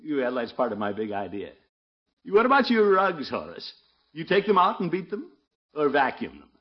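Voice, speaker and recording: A blustery, self-important middle-aged uncle in a vintage radio sitcom. His voice sits fairly high for a man and swoops dramatically. The old recording is muffled. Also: huge pitch swings, normal speed. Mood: self-satisfied. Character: pompous, scheming middle-aged uncle, blustery small-town commissioner. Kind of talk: 1950s radio situation comedy acting.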